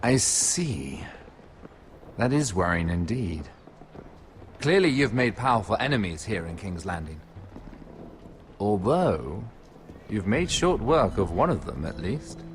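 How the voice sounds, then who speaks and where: An actor playing a scheming, wealthy merchant lord in a story game, with silky, mocking, falsely friendly speech. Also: male voice, lowish voice, sing-song, slow pace, pauses often, slight background noise. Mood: smug. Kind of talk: video game voice acting.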